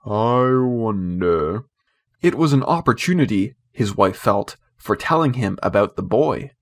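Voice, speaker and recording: Male audiobook reader, mid-range pitch, scripted narration of classic children's fiction. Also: lively intonation, normal speed, gravelly, slightly rough.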